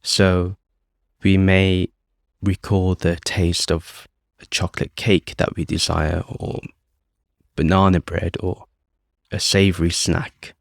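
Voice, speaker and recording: A man calmly leading a guided meditation as host, speaking off the cuff in short phrases with long pauses. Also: low-pitched, vocal fry, no echo, studio quality. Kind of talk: guided meditation, unscripted instruction.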